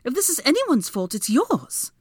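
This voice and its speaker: A woman voicing a sharp-tongued, exasperated young witch in an audiobook, with cutting, sarcastic delivery. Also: on the high side, very animated, a little fast, no echo, clean studio sound, voice pushed up. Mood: angry. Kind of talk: dramatic audiobook character dialogue.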